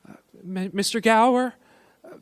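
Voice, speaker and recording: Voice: mid-range voice, moderate pace, clear, resonant tone, dry recording, clean studio sound, close to an everyday voice. An actor playing an earnest, plucky twelve-year-old boy with an eager, boyish manner in a radio play. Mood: anxious, pleading. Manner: boyish, eager, stammers when anxious. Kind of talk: live radio play acting.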